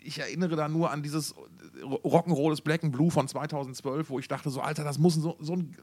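A man's voice: a little high, fairly even intonation, a little fast, a little mellow. A middle-aged man co-hosting a music podcast in casual, unscripted chat, enthusiastic and colloquial, often repeating his words.

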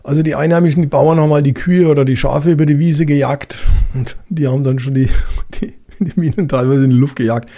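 Gravelly middle-aged Franconian man. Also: a little high, steady tone, a little fast, mellow. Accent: Franconian German. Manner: colloquial, rambling, lots of fillers and restarts. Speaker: retired police officer guest. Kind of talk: casual anecdotal storytelling.

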